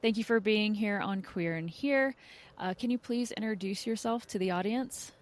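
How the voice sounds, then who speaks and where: A woman's voice: mid-range voice, moderate pace. A woman hosting an interview podcast, with scripted introductions and questions for a guest. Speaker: podcast host.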